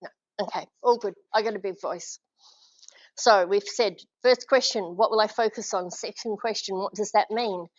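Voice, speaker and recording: A woman with an Australian accent giving a live leadership talk, energetic and encouraging, and asking her audience questions. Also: mid-range voice, normal speed, dull audio. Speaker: leadership speaker. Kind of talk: live presentation to a small audience. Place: function room with an audience.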